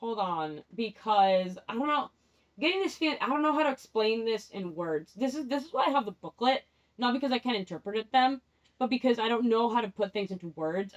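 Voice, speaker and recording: A young woman whose first language is Spanish, doing a rambling, candid tarot reading, often restarting her sentences and laughing at herself. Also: mid-range voice. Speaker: tarot vlogger. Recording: dull lo-fi sound.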